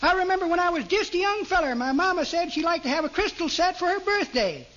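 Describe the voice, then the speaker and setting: A man's voice: extremely high pitch, expressive, fast talker, fairly bright tone. An actor playing a cheerful, rambling old American man who tells tall tales in a folksy drawl, 1940s radio comedy style. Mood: friendly, curious. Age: elderly. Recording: dull, faintly noisy old radio recording.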